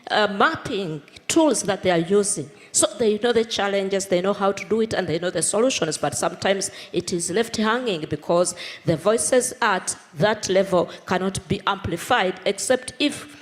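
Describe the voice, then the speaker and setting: A woman's voice: mid-range voice, lively intonation, normal speed, few pauses, big-room sound. A woman in the audience making an earnest comment and request at a conference panel, listing points as she goes. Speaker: audience member asking a question.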